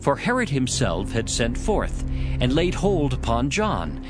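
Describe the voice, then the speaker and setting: A man narrating a dramatized scripture production with measured, expressive storytelling. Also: medium pitch. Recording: music behind the voice. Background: prominent background music.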